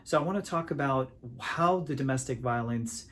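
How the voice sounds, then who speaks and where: A man from Pakistan works as an immigration attorney and explains the law in a measured, deliberate talk to camera. He pauses often on filler sounds as he lays out each point. His voice sits at an ordinary middle pitch. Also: a bit bright.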